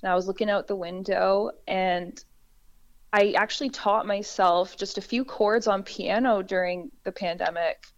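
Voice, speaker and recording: Low-voiced woman, reflective and relaxed. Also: moderate pace, dull audio. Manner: relaxed, reflective, frequent restarts and fillers. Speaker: singer-songwriter guest. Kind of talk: conversational interview.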